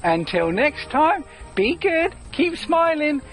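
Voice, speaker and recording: A woman reads a children's story with a bouncy, sing-song delivery. Her pitch leaps high and low on almost every phrase. She teases the listener and chuckles often. Faint music plays underneath. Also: big pitch swings, slow. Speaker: storyteller and author. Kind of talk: playful storybook narration.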